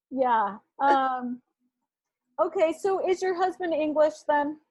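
A woman hosting a casual interview, a homeschooling mother who talks softly with many fillers. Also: sits high, extremely slow, no room sound, poor audio. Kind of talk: casual conversation.

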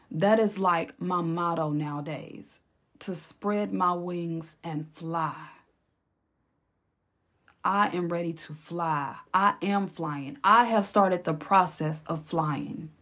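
A woman hosting her own podcast, speaking in a slow, encouraging, reflective way, with a raspy voice and features. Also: fairly low pitch. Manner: slow, encouraging, repeats phrases for emphasis. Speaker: podcast host. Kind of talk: reflective solo podcast talk.

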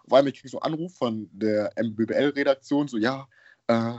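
A young man from Germany, a basketball player, chatting casually as an interview guest in relaxed slang, laughing often. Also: mid-range voice, fairly quick, no room sound, dull audio. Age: young adult. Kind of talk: casual chat.

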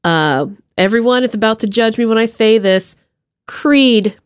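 Expressive woman with very lively intonation. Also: a little high, unhurried, clear, resonant tone, dry recording. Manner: gushing, expressive. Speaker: podcast host. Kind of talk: casual chat.

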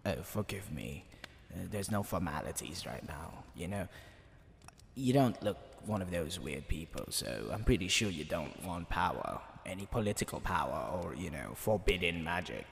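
A man voicing a smug, flirtatious demon king in a theatrical ASMR roleplay, teasing the listener, with creaky tones that drop into breathy whispers. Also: low-pitched, sing-song, exaggerated voice. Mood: exasperated disdain. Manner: theatrical, drawn-out, teasing delivery. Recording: long hall reverb, background hiss and ambience.

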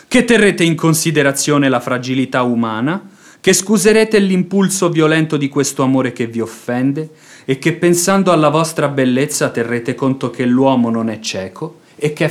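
A man's voice: on the high side, lightly acted. An actor playing a fawning, impassioned religious hypocrite in a radio drama, declaiming his pleas in florid, unctuous phrases. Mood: pleading. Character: pious hypocrite seducer, fawning, impassioned, silver-tongued man. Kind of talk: theatrical radio drama acting.

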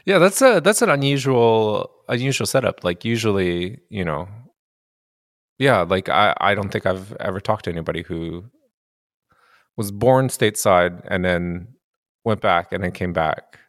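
A man hosts a relaxed interview and speaks in a lowish voice. He is easygoing and loose with fillers, and he laughs along with his guest. The sound is close and dry. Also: measured pace, dry recording. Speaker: podcast host, indie game producer. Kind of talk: casual interview.

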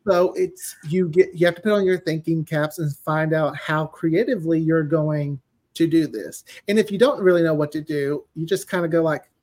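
Higher-voiced man telling stories in casual chat. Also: steady tone, moderate pace, darkish tone. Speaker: audio drama writer, podcast guest.

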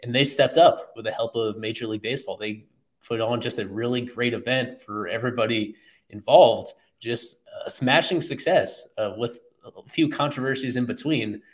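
Casual sports podcast host, male, mid-pitched, steady and reflective. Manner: thoughtful, filler-heavy, measured.

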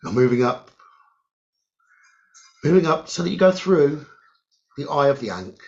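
A man leading a guided meditation in short, halting phrases with long pauses and a creaky voice. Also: fairly high pitch, sing-song. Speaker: guided meditation leader, psychic medium. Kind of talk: slow guided meditation narration. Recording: dull, lo-fi sound.

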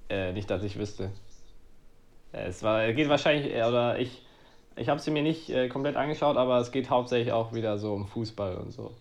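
Mid-pitched man, casual podcast co-host. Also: fairly quick, creaky. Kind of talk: casual chat on a badminton podcast.

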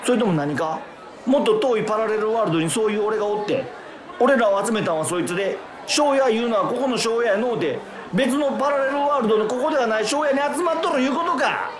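A man's voice: sits high, lively intonation, fast, a crowd in the background, pitched above the performer's own voice. A man playing an indignant loser with a Kansai accent in a comic radio drama, ranting in exasperated rhetorical questions. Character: indignant loser man ranting at his parallel-world selves.

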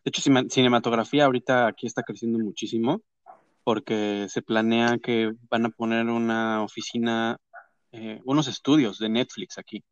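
A man with a Mexican accent hosting a casual chat and interviewing guests, slangy and talkative with quick asides. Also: mid-range voice, fairly even intonation, no echo, lo-fi sound. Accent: Mexican Spanish. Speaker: host.

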